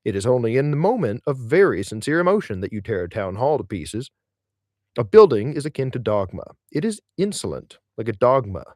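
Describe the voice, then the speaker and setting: A man's voice: medium pitch, dry recording, studio quality. A man reading literary essays aloud as an audiobook narrator, with theatrical, emphatic phrasing. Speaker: audiobook reader.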